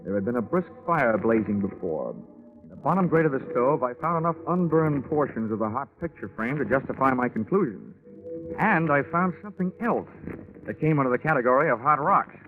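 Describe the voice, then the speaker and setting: An actor playing a tough, wisecracking American insurance investigator with a wry, deadpan, hard-boiled delivery in 1940s radio style. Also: male voice, medium pitch, fairly quick, natural voice. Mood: hard-boiled, confident. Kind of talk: 1940s radio detective acting and narration. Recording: tinny band-limited 1940s radio recording.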